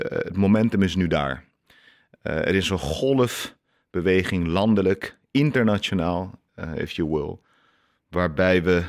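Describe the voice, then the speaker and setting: A man's voice: low-pitched, no room sound, studio quality. A man talking reflectively in an interview, searching for words and dropping in English phrases for emphasis. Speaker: interviewee, activist.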